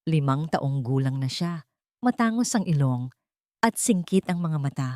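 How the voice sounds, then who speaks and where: Expressive Filipino woman narrator, slightly low voice. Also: unhurried, no room sound, studio quality. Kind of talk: dramatic scripted story narration.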